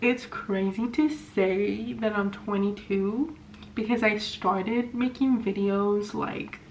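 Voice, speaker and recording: A woman's voice: medium pitch, steady tone, slow pace. A woman in her twenties from California, chatting casually and rambling with lots of fillers while doing her makeup at home. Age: 20s. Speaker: vlogger. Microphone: camera mic. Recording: slightly dull sound with faint hiss.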